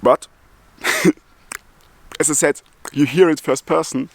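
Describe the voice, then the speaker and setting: Slow-talking German man who stutters. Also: on the high side, creaky, no room sound. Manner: stutters with repeated sounds and restarts; chuckles between phrases. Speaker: YouTuber. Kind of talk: casual vlog talk.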